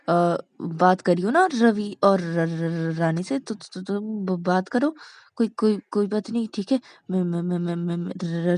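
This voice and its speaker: A woman voicing a nervous, flustered young woman in love who stammers heavily and speaks haltingly in an audio story. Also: lowish voice, dark tone, no echo, lightly acted. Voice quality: heavy stammer, repeating syllables. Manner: halting, scared, hesitant.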